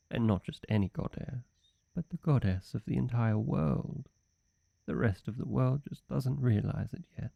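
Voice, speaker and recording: A man playing a suave, flirty, fanatically devoted demon servant in a roleplay audio, speaking to his mistress in a deliberate, deferential way. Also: fairly low pitch, vocal fry, no echo, highs cut off. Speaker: voice actor. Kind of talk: scripted roleplay audio addressed to the listener.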